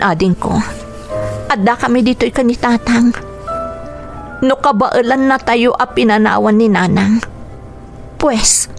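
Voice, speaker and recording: A woman acting a bitter, resolute young eldest daughter in a Filipino radio drama, emotional and earnest, with a Filipino accent. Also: a little high. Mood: firm, hurt.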